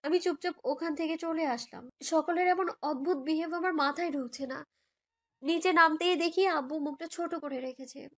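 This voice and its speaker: Young woman reading a love story, really high girly voice, emotional, soft music behind. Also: moderate pace. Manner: emotive, girlish. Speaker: narrator of a romantic story. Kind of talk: dramatized first-person story narration in a girl's voice. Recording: background music under voice.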